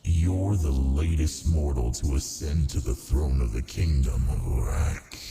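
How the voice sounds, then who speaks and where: A man playing a haughty, seductive ancient archdemon in an ASMR roleplay, with a gravelly, languid, condescending delivery. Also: a bass voice, background noise, full character voice.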